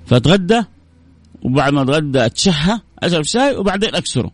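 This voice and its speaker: A man's voice: on the high side, big pitch swings, quick pace, pitched above the performer's own voice. A man voicing an easygoing male folk singer who explains his daily routine insistently. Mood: matter-of-fact. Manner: matter-of-fact, insistent.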